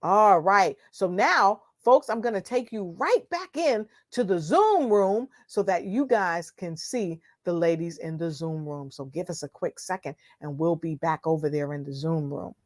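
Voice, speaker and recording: A woman hosting an online event, excited and whooping as she brings in the guests. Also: lowish voice, measured pace, hardly any pauses. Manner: excited, whooping, hypes up the crowd. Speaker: event host.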